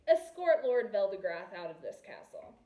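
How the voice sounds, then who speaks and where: A woman's voice: mid-range voice, big pitch swings, normal speed, warm tone, lightly acted. A woman voicing a bold young prince in a storybook, assured and sardonic, in an echoey room. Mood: commanding. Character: bold, wry young male prince, former orphan.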